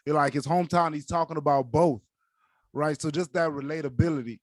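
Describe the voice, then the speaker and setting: A man's voice: a little high, brisk, dry recording, studio quality. A young man whose first language is Yoruba, co-hosting a podcast in casual, earnest chat and often leaning on "like". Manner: earnest, leans on "like" as a filler. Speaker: podcast co-host.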